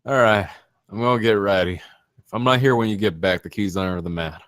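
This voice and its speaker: A man's voice: fairly low pitch, fairly quick, a bit bright, dry recording, clean studio sound, lightly acted. A man reading a guarded, tough but generous character in a screenplay table read, terse and offhand. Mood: casual.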